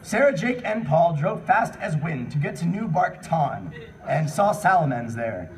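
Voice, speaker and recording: A man's voice: on the high side, very animated, unhurried, a little hiss or hum. A man hosting a live comedy panel, reading fan fiction aloud with amused, incredulous commentary. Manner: amused, incredulous at what is read. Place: live convention panel room. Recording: distant mic in a room with audience.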